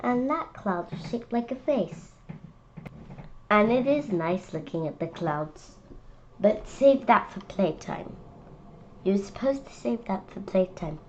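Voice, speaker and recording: A young, school-age female reader presenting a picture book read-aloud with chatty, expressive commentary. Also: medium pitch, takes it slowly, muffled recording, slight background noise. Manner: expressive, calls out quoted lines loudly.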